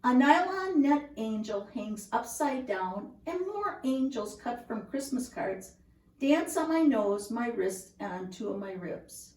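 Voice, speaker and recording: A woman reading a children's picture book aloud in a measured, deliberate storytelling manner, recorded at home. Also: a little high, lively intonation, darkish tone. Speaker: storybook reader. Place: indoors at home. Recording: roomy home recording, distant mic.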